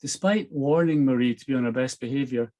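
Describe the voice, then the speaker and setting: A man's voice: medium pitch, brisk, mellow. Scottish man, chatty author. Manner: enthusiastic, chatty. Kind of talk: chatty intro and reading from his novel.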